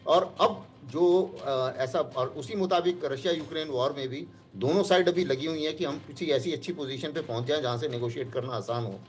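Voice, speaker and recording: A man's voice: a little high, moderate pace, few pauses. A man giving analysis as an expert guest in a live news discussion.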